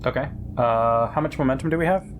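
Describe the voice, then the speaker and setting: A man's voice: medium pitch, fast talker, noisy background. A man chatting casually as a player at a tabletop role-playing game.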